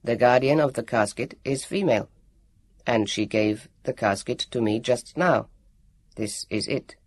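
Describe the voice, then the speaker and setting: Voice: medium pitch, unhurried, no room sound, voice pushed down, lightly acted. A storybook narrator voicing a proud male android who speaks in a formal, precise and polite way.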